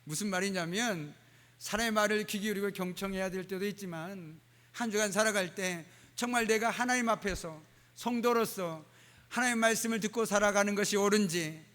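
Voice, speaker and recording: A man's voice: high voice, a bit bright. A man preaching a sermon as a pastor in a church, dropping dialect phrases and frequent "uh" fillers. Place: church sanctuary. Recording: church hall with long reverb.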